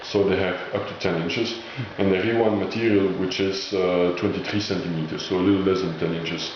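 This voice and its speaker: Guy with a lowish voice explaining a product, searches for words, super echoey room, muffled sound. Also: fairly even intonation, brisk. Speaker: product presenter explaining label machinery. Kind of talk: informal product demonstration. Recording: echoey dull room recording, faint noise.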